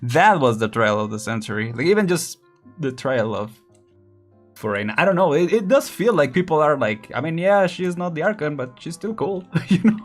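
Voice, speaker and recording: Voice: medium pitch, very animated, normal speed, faint music behind. A streamer giving casual let's-play commentary, chatty and laughing often while thinking aloud.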